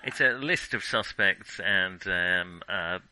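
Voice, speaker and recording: A man's voice: sits low, slow pace, sharp tone, dry recording. A man co-hosting a film podcast in a chatty, wry, conversational way. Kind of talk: casual chat.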